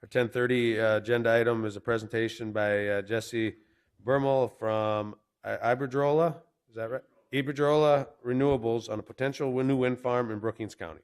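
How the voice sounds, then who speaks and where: A man's voice: medium pitch, fairly even intonation, brisk, smooth. A man chairing a county commission meeting, introducing items in an easygoing way with fillers.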